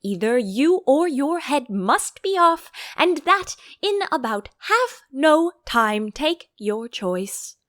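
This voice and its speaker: A woman's voice: sits high, very animated, takes it slowly, hardly any pauses, fairly bright tone, no echo, studio quality, voice pushed up, exaggerated voice. A woman voicing an imperious, quarrelsome storybook Queen with a commanding, curt, emphatic delivery. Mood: furious, threatening. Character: imperious, quarrelsome Queen who shouts threats of beheading.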